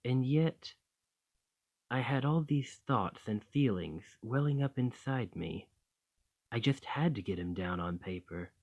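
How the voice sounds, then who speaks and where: Man playing a slow-talking, bashful, doting dragon. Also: mid-range voice, animated, lo-fi sound. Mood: flustered, embarrassed. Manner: flustered, hesitant, tender, with sighs and stammers. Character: gentle, bashful male dragon, doting romantic partner. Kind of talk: ASMR roleplay.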